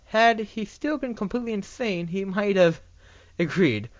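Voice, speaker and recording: Someone reading fanfic out loud, trips over words and repeats, very up and down pitch, bit muffled and dry. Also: lively intonation, dry recording. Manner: halting, often stumbling and repeating words. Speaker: narrator reading fan fiction. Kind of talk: amateur scripted story narration.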